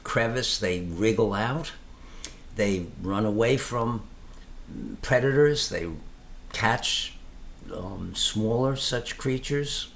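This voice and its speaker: Slow, gravelly, low-voiced man. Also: a bit bright. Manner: halting, reflective, pauses mid-phrase. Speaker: tai chi teacher. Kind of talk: informal explanatory monologue.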